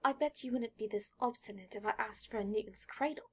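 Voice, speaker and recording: A woman voicing a precocious, indignant young boy with a pedantic manner that turns shrill when annoyed, in an audiobook story. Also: fairly quick, breathy edge.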